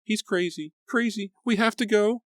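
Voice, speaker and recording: Frantic young woman voiced by a man, high whimpering pleas, dry close sound. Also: lively intonation, moderate pace, darkish tone, no echo, natural voice. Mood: panicked. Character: panicked young woman, terrified and pleading.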